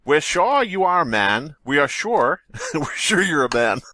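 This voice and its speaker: A man's voice: on the high side, big pitch swings, unhurried, sharp tone, dry recording. A man hosting a podcast, wisecracking in casual comic banter. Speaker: podcast host.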